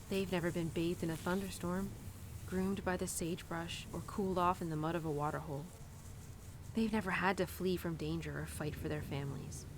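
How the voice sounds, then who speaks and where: A woman's voice: on the low side, background noise, close to an everyday voice. A woman playing a young, animal-loving cowgirl who confides tenderly in her horse in an intimate, casual, rambling audio roleplay. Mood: admiring, earnest. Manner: casual, rambling, sighing and giggly.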